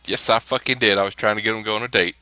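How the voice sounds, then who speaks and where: A man's voice: fairly low pitch, steady tone, racing, bright, forceful tone, dry recording. A man chatting casually and swearing freely as a player in a tabletop game. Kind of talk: casual chat.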